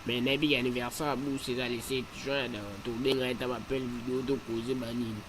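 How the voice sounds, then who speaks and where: A man's voice: medium pitch, flat delivery, fast, background noise, natural voice. A man with a Congolese accent playing a rambling cartoon character who repeats slangy interjections. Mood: protesting. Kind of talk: animated cartoon dialogue in Lingala and French.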